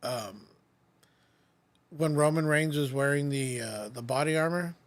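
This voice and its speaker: Rambling podcast host, higher-voiced man. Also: unhurried. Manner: rambling storyteller, many restarts and "like". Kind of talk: casual podcast chat.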